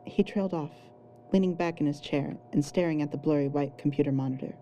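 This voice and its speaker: A woman with a low, very dark and mellow voice reads scripted story narration. There is faint noise in the home recording. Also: a little fast, lo-fi sound. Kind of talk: scripted fan fiction narration.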